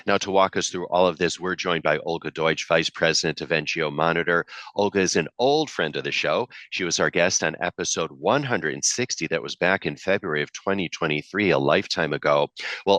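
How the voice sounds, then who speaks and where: A man's voice: deep voice, fairly bright tone, no room sound. A man hosting a podcast, reading a polished, upbeat intro and then interviewing a guest. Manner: polished, upbeat announcer delivery. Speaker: podcast host.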